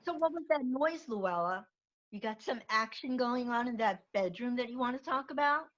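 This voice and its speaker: A woman's voice: on the high side, expressive, normal speed, highs cut off, lightly acted. A woman acting a nosy, cheeky, teasing friend in a comedy script read over a video call. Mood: nosy, mocking. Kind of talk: live comedy screenplay table read.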